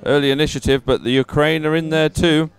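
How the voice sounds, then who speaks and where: A man's voice: on the high side, fairly even intonation, a little fast. A man giving live race commentary in an informal, conversational and encouraging way. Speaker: sports commentator.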